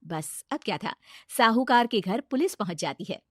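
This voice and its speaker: A woman's voice: mid-range voice, animated, normal speed, fairly bright tone, no room sound, studio quality. A woman narrating a scripted moral story.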